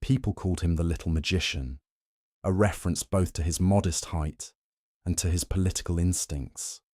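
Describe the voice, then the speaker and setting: A man reading a scripted history narration in a slow, measured, soothing way meant for falling asleep. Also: low voice, a little mellow, dry recording, studio quality. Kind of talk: calm scripted bedtime narration.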